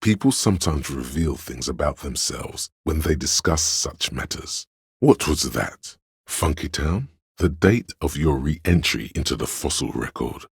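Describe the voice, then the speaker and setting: An actor voicing a pompous, art-obsessed inner voice in a video game, narrating in a literary, deliberate and theatrical way with a husky, gravelly tone. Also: male voice, rumbling low, expressive, dry recording, studio quality, exaggerated voice. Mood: probing. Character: pretentious art-obsessed inner voice, male, musing and cutting. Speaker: narrator of a character's inner skill voice.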